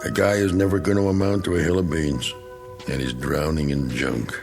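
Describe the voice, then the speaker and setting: Middle aged american war vet, deep gravelly voice, bitter and slangy, radio play. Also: male voice, level tone, moderate pace, music in the background. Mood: bitter, brooding. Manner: folksy, rambling, slangy. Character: bitter, self-pitying, needling war veteran. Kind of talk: radio drama acting.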